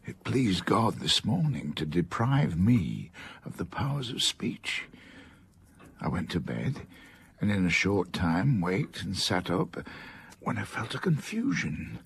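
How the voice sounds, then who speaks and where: A blunt, aging eighteenth-century scholar in a radio play. The voice is very husky and breathy, and the pitch rises and falls widely. He speaks gravely, in stately phrases. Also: very animated, slow, fairly bright tone, heavily acted. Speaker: actor in a radio drama. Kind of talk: radio drama acting.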